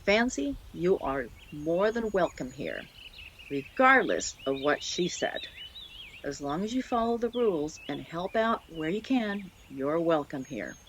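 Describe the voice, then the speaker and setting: A woman voicing a kindly adult mouse in an audio drama, welcoming, measured and reassuring. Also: lowish voice, very animated, a little hiss or hum. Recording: faint outdoor ambience with birdsong.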